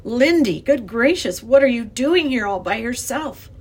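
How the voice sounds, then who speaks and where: A woman's voice: mid-range voice, lively intonation, moderate pace, husky. A woman voicing a kindly, anxious older male professor with genteel, old-fashioned phrasing, in an acted children's storybook reading. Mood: startled.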